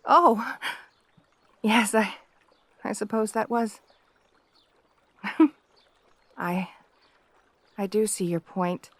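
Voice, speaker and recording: Woman acting a shy, slow-spoken gentle princess. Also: mid-range voice, no echo. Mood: tender, hopeful. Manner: hesitant, stammering, deferential. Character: shy, gentle, devoted young princess bride. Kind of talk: wholesome romantic ASMR audio roleplay.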